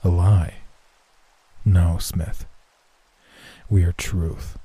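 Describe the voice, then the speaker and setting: Deep monotone voice, man acting ancient tree creature, sinister, unimpressed, very slow, husky, horror story. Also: full character voice. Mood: cold, menacing. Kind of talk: horror story narration, character voice.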